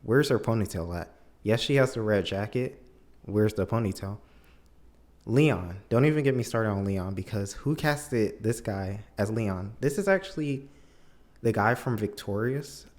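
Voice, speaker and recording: A man's voice: on the low side, lively intonation, measured pace, darkish tone. A man giving a casual, opinionated film review as a video commentator, with an emphatic, incredulous, conversational manner.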